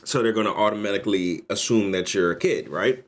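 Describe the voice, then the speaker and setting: A man's voice: on the low side, a little fast. A man in his thirties ranting loosely and profanely while reacting to a video on a livestream. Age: 30s. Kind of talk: casual livestream reaction chat.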